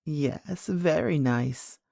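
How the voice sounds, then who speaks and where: Ancient storybook grandmother queen, played by a woman: low, unhurried, gentle, enigmatic, softly creaky. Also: no room sound, clean studio sound, heavily acted. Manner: calm, measured, kindly, enigmatic. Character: very old, gentle, mysterious great-great-grandmother queen. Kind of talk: storybook character voice.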